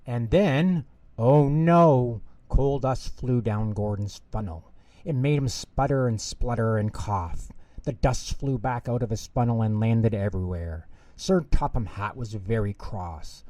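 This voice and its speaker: A man reading a children's bedtime story aloud as an expressive storyteller. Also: medium pitch, unhurried, no echo.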